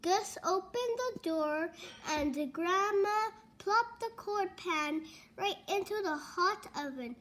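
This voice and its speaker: A young girl with a very high, childlike voice reads a storybook aloud. Her pace is very slow and halting, taken word by word with small stumbles. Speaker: read-along reader.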